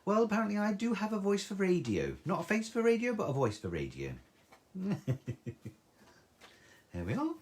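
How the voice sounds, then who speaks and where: A man's voice: high voice, wildly swooping pitch, moderate pace, long pauses. A man with a soothing voice, chatting through a live craft tutorial and reading out viewer comments. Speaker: craft demonstrator.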